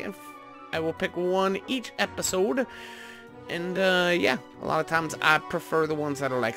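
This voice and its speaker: A man's voice: a little high, gravelly, fairly bright tone. A man hosting a gaming video, talking casually and informally as he thinks aloud while playing. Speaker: host of a gaming video series. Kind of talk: casual gameplay commentary.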